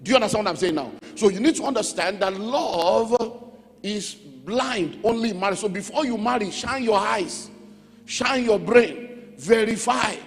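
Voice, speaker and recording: A man's voice: sits high, lively intonation, moderate pace. A man with a Nigerian accent preaching as a pastor, emphatic, repeating key phrases and checking in with his listeners. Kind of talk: sermon teaching on relationships. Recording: echoey hall with music underneath.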